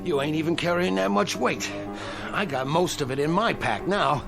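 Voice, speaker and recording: An actor playing a sadistic, greedy thief in a radio drama, with mocking, folksy, slangy taunts. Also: male voice, a little high, expressive, normal speed, vocal fry, music in the background. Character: sadistic, greedy male thief taunting his weaker partner.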